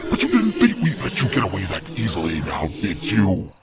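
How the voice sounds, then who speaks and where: A man's voice: low voice, wildly swooping pitch, normal speed, loud music behind the voice. A man playing a cruel, taunting shadowy wraith in an audio drama, with a warped, cackling voice and theatrical delivery. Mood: mocking. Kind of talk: audio drama villain acting.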